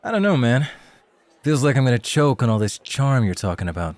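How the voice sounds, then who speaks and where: A man's voice: medium pitch, big pitch swings, a little fast, a little mellow, no echo, natural voice. A man acting in an ASMR roleplay as a laid-back rookie club host, casual, hesitant and a little awkward. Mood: skeptical.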